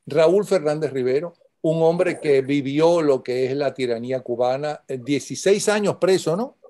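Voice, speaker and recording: Male interview host, slightly high voice, brisk and interjecting. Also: warm tone. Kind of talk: interview conversation.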